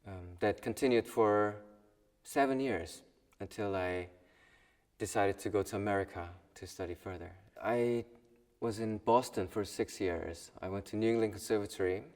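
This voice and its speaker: Soft-spoken young Korean man, distant and roomy. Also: on the low side. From South Korea. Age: 20s. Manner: thoughtful, soft-spoken with pauses and small laughs. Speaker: violin student. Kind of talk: personal documentary interview.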